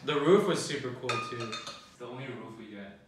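A man's voice: mid-range voice, animated, brisk. A young man from Puerto Rico bantering casually in an interview on a living room sofa. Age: young adult. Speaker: student interviewee. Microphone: far camera. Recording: very echoey room, distant mic.

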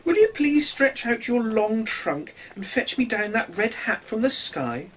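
A man's voice: high voice, sing-song, a little slow, a bit bright, a little hiss or hum, pitched above the performer's own voice, exaggerated voice. A man voicing a small, eager little fish in a children's storybook, polite and pleading.

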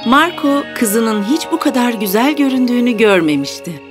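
A woman's voice: on the high side, animated, moderate pace. A woman narrating a scripted fairy tale as the storyteller. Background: background music.

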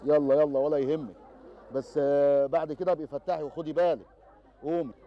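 A man's voice: a little high, little pitch movement, fast, highs cut off, lightly acted. An actor with an Egyptian Arabic accent playing a joking police officer who teases a village girl. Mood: teasing. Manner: teasing, chuckling.

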